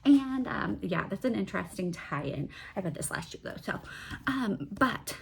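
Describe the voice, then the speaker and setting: A woman's voice: medium pitch, animated, a little slow, gravelly, slight background noise. A woman talking off the cuff in a casual book-review vlog, rambling a little, cutting into her own sentences and putting questions to herself. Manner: rambling, self-interrupting, asks herself questions. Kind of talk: casual book-talk vlog, unscripted chat.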